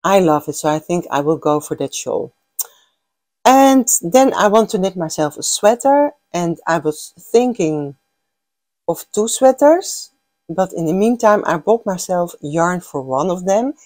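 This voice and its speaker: A woman's voice: lowish voice, expressive, a little slow, a little mellow, dry recording. An older Dutch woman, a native Dutch speaker with a Dutch accent, hosting a knitting vlog in a chatty, gushing way with many fillers and restarts. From Netherlands. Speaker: knitting podcast host. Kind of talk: casual chat.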